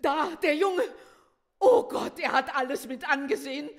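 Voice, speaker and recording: A woman's voice: high voice, normal speed, lightly acted. An actress playing a horrified, compassionate woman pleading for help in a radio play. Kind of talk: German audio drama acting.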